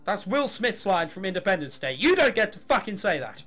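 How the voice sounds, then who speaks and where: A man's voice: high voice, very animated, brisk, a bit bright, soft background music. A man doing a let's play of a visual novel, narrating and throwing in sarcastic, mock-exasperated asides. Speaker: gamer reading and commenting on a visual novel.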